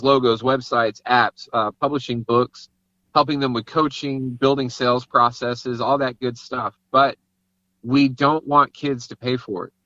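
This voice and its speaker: A man's voice: mid-range voice, sharp tone, dry recording, highs cut off. A man chatting casually as an interview guest.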